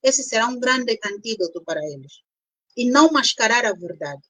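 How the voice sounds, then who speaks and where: A woman's voice: a little high, lively intonation, normal speed, fairly bright tone, no echo, low-quality recording. An Angolan woman with an Angolan Portuguese accent, a doctor guest explaining points one by one in a didactic way. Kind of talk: explanatory interview talk.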